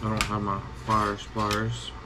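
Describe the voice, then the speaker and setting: A man's voice: fairly low pitch, monotone, measured pace, over music. A man giving a casual hands-on how-to, plain-spoken, talking in short phrases as he works at an indoor bench. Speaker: scrapping tutorial host. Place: indoor cluttered workbench. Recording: clearly noisy workshop ambience.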